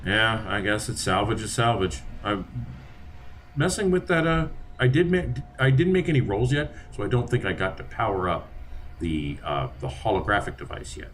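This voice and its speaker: A man's voice: lowish voice, very animated, normal speed, fairly bright tone. A man playing in a tabletop game, easygoing and hesitant, with plenty of fillers. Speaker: player. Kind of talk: casual tabletop game chat.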